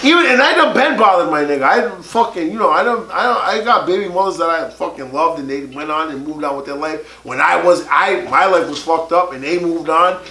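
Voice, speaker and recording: A man in his late thirties in casual podcast chat, loud and animated, swearing freely and often bursting into shouts. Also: fairly high pitch, a little fast, hardly any pauses. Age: 30s. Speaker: podcast co-host. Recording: echoey room with faint noise.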